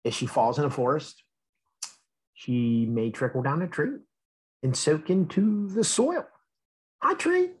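A man's voice: a little high, expressive, measured pace, pauses often, darkish tone, breathy edge. A man reading a children's picture book aloud expressively, addressing young listeners with questions and asides. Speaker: read-aloud storyteller.

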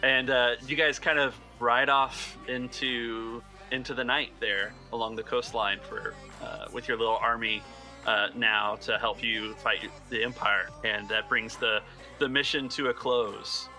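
A man hosting as game master, narrating casually and haltingly in an informal way. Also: mid-range voice, steady tone, bright, forceful tone, faint music behind.

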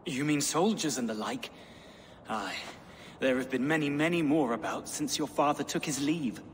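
An actor playing a deferential, worried Saxon household servant in a video game, respectful and halting. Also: male voice, medium pitch, natural voice. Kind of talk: video game cutscene acting. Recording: long hall reverb, faint noise.

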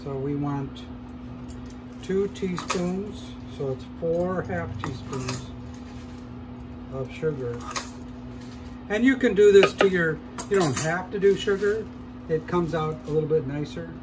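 Man, cooking host, slow and step-by-step, slightly high voice, clear mains hum behind. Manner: unhurried, step-by-step explaining while cooking. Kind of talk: casual cooking demonstration. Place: shop demo kitchen, indoors.

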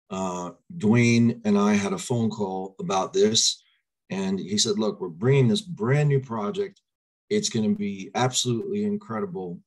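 Man, 40s, low voice, a bit hoarse, upbeat webinar host on a webcam. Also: darkish tone, low-quality recording. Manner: upbeat, coaxes audience to reply in chat. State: slightly hoarse. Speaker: crypto presenter. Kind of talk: webinar presentation. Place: small home room, indoors. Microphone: webcam on video call.

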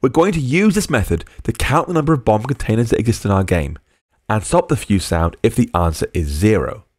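A man's voice: on the low side, lively intonation, fairly quick, darkish tone, no echo, clean studio sound. A man narrating a screencast coding tutorial as a programming tutor, explaining step by step and dictating code word by word.

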